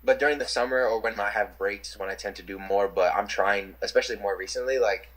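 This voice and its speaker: Young new guy on a video call, kinda husky, lots of "like" and restarts. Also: fairly low pitch, fast talker, fairly bright tone, low-quality recording. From New York City. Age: young adult. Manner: rambling, lots of fillers and restarts. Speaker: interviewee, rapper. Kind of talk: casual chat.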